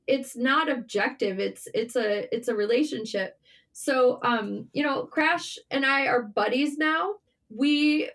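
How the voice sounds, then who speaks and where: Female interview guest, higher register, conversational storyteller, frank and informal with the odd mild swear. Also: a bit bright. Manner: informal, candid, mildly sweary. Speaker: interview guest, writer and journalist.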